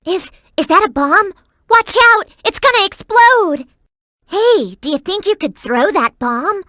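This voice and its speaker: A woman's voice: extremely high pitch, expressive, a little slow, bright, forceful tone, no echo, studio quality, exaggerated voice. A woman voicing a perky, earnest and bossy young girl companion in a video game, with emphatic delivery. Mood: urgent, alarmed. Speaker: in-game hint-giving companion.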